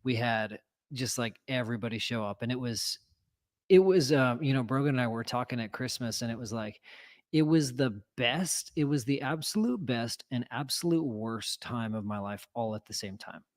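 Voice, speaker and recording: A man's voice: mid-range voice, lively intonation, normal speed. A man telling a long, rambling story in a casual conversation, full of fillers and restarts. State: recovering from lost voice. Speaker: co-host telling a home renovation story.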